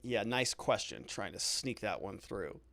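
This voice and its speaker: A man's voice: on the low side, expressive, measured pace, creaky, fairly bright tone, no echo, studio quality. A middle-aged American man hosting a livestream, reading out viewer comments and adding wry, conversational commentary. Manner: wry, conversational, joking asides. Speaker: livestream host and legal commentator.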